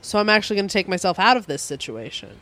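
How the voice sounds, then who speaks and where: A woman's voice: sing-song, rapid-fire, a bit bright. A congested woman co-hosting a casual comic book podcast, laughing often and swearing casually. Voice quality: low voice for a woman. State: stuffed up, congested. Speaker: podcast co-host.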